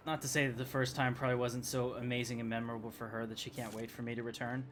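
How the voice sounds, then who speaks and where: A man's voice: mid-range voice, little pitch movement, quick pace, fairly bright tone, a little hiss or hum. A man hosting a gameplay video with casual, conversational, joking commentary. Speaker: gaming video host. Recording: clear game music behind the voice. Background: video game music.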